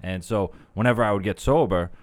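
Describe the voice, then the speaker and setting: A man's voice: on the low side, a little fast, dry recording. A man in casual group conversation, talking animatedly and swearing, acting out his past self with a raised voice. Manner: animated, swears, mock-quotes himself.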